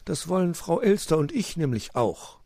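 A man's voice: fairly high pitch, big pitch swings, normal speed, gravelly, dark tone, no room sound, clean studio sound, voice pushed up, exaggerated voice. A man voicing an orderly, indignant uncle owl who speaks formally and hoots, in a children's story. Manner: formal, hooting "Huhu".

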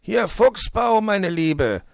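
Man playing a brisk, high-voiced storybook fox. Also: big pitch swings, vocal fry, no room sound, studio quality, exaggerated voice. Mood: cheery, coaxing. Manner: polite, cheery, coaxing. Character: friendly, brisk, sly male fox, solicitous neighbour.

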